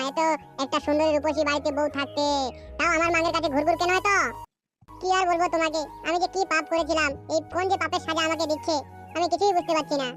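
A high-voiced performer playing furious scorned wives in a Bengali comedy cartoon, ranting and scolding in a shrill, pitched-up childlike voice. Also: a bit bright. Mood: aggrieved. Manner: scolding, foul-mouthed, ranting.

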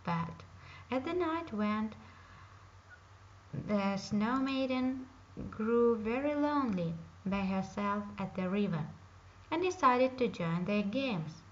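A woman reading a fairy tale aloud in a slow, careful storytelling manner. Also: mid-range voice, expressive. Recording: dull lo-fi home recording.

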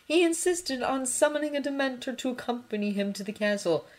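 A woman reading a story voices a cold, stern male professor with a low, chilly delivery. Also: expressive, fairly quick.

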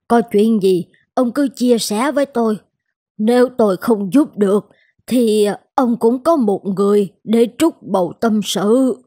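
A woman voicing a gentle, concerned wife in a cartoon drama. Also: a little high, no echo, studio quality. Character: gentle, concerned wife of an aging sword master. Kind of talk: dubbed cartoon drama dialogue.

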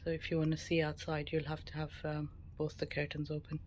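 A woman's voice: low-pitched, monotone, brisk. A woman travel vlogger giving a casual, matter-of-fact tour narration of a small cabin. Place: mostly a small cruise ship cabin. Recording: clear background ambient noise.

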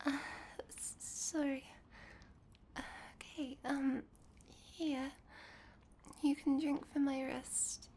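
Casting: woman as nervous, sweet young female vampire, ASMR girlfriend roleplay; soft dark tone, breathy hesitant delivery, some background noise. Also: high-pitched, level tone, drawn-out words, pauses often, heavily acted. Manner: hesitant, stammering, breathy, often whispering.

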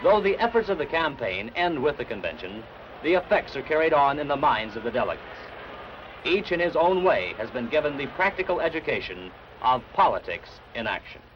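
A man reading scripted 1960s newsreel narration in a measured, formal announcer style. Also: medium pitch, very animated, background noise. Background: background music.

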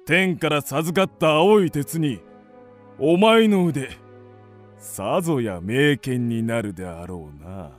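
A man playing a scheming, imperious ancient Chinese king, pompous and deliberate, in a radio drama. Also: medium pitch, animated, soft background music. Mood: commanding, flattering.

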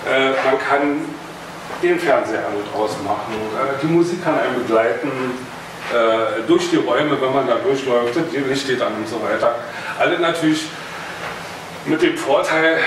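A man in his thirties with a Berlin accent gives a loose, chatty hobby presentation, standing and moving about in a meeting room. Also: medium pitch. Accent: Berlin German. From Berlin, Germany. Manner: loose and chatty, with filler words and small jokes. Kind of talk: casual technical presentation. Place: meeting room with projector screen, indoors. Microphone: far camera across the room. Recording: very echoey room, far from the mic, noisy.

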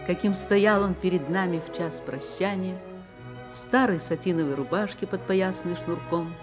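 A grown woman played by an actress looks back on her childhood. Her voice is on the low side, in measured literary radio narration, with music at times. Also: natural voice. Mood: reflective storytelling.

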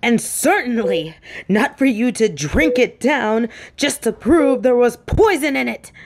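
A woman's voice: fairly high pitch, lively intonation, measured pace. A woman acting a fiercely protective female field agent in an audio roleplay, scolding someone she loves in an emotional, emphatic way. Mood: stern, upset. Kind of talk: intimate dramatic audio roleplay monologue.

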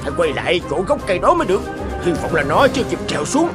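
Grumbly sneaky cartoon fox, high voice, worked up, loud music. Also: male voice, very animated, racing. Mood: anxious scheming. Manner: agitated, grumbling. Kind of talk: cartoon character acting.

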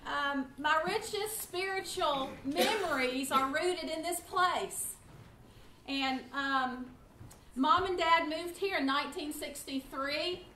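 A woman in her fifties, loud and animated, teaching a church class to a seated group. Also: high-pitched, slow. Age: 50s. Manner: loud, animated, chatty with the room. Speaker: guest Bible class teacher. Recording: roomy echo, faint room noise.

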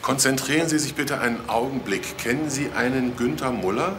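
An actor playing a dry, direct and sardonic police detective in a German crime radio drama. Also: male voice, medium pitch, a little fast, background noise, close to an everyday voice.

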